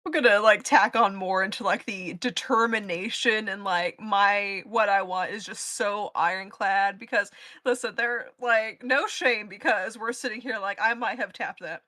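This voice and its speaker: A woman's voice: medium pitch, normal speed, bright, forceful tone, no room sound. A woman in a casual panel chat, speaking candidly with casual swearing. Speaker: panelist, podcast crew member who edits episodes.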